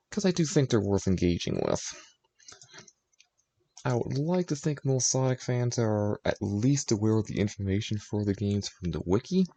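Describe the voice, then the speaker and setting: Low gravelly guy streaming his writing, thinking out loud, explaining stuff casually. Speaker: streamer writing fan fiction.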